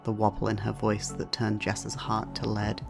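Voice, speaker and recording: Low, gravelly male narrator. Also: completely flat delivery, moderate pace, soft background music. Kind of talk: scripted audio drama narration.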